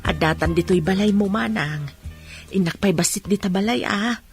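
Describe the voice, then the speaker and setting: A woman playing a humble, forgiving younger sister in an Ilocano village radio drama, with a husky voice. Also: medium pitch, moderate pace, soft background music, natural voice. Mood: warm, forgiving.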